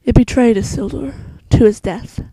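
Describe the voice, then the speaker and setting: A woman playing a solemn, wise elf queen who narrates a fantasy monologue in a hushed, deliberate way, with a soft voice that slips toward a whisper. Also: fairly high pitch, breathy edge, no echo, poor audio. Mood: grave. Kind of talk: slow dramatic fantasy monologue.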